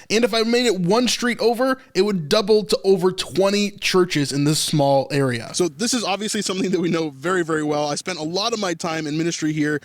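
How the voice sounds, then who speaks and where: A man hosting a faith vlog, talking casually and explaining things, often filling pauses with "like". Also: a little high, no echo. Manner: chatty, with frequent "like" fillers. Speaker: vlog host.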